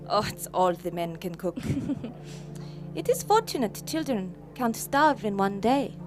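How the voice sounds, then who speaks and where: An actress playing a warm, proud feminist mother from Iceland in a radio play, brisk and welcoming as she explains things. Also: medium pitch, sing-song, soft background music. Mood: proud, explaining.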